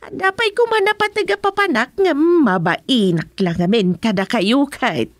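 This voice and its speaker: A woman's voice: high voice, expressive, moderate pace, no room sound. A woman with an Ilocano accent playing a village councillor in a comedy radio drama, pleading and defensive.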